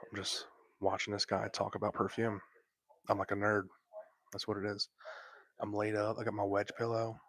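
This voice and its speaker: A young man whispering softly into a close microphone while hosting a laid-back late-night livestream from bed at home. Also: lowish voice, monotone, moderate pace, long pauses, dry recording. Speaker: livestream host.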